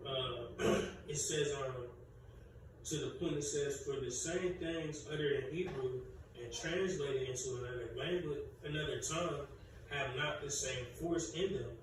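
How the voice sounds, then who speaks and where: A man's voice: medium pitch, measured pace. A man in a class who reads a short passage aloud and then explains it earnestly. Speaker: class participant. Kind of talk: casual group discussion, reading a passage aloud. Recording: echoey room, distant mic, ambient noise.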